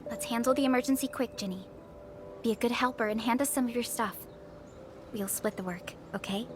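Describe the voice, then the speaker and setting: A woman's voice: fairly high pitch, a little fast, lightly acted. A woman voice-acting an earnest, upbeat young relief helper in a video game cutscene, with music behind her. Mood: concerned, resolute.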